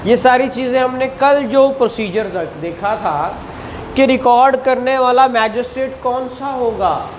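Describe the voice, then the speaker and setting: A Pakistani man teaches law at a slow, deliberate pace, in a didactic style that checks in with questions and repeats key phrases. His voice echoes in the classroom, with clear noise behind it. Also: extremely high pitch. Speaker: law lecturer. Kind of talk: classroom lecture. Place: indoor classroom.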